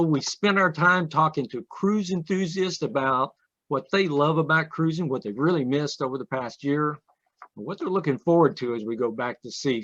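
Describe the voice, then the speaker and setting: A man's voice: fairly high pitch, fairly bright tone. Male host on a muffled video call. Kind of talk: casual interview hosting over video call.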